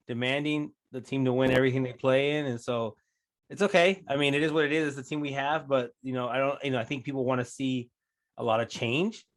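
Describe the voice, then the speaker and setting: A man's voice: mid-range voice, a bit bright. A man co-hosting a soccer podcast from home, chatting in an easygoing way with plenty of "you know" and "man". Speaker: podcast co-host. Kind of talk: casual podcast chat.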